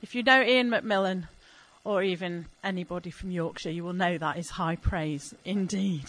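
A woman's voice: fairly low pitch, normal speed, a bit bright, low-quality recording. A woman introducing a poet on stage at a festival, upbeat and enthusiastic.

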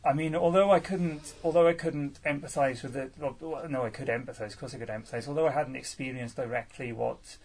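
Man, 30s, poet from Wales, halting live Q&A, self-deprecating, mid pitch. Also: fairly even intonation, darkish tone. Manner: hesitant, many fillers and restarts, self-deprecating. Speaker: featured poet answering audience questions. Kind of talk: casual live Q&A at a poetry salon.

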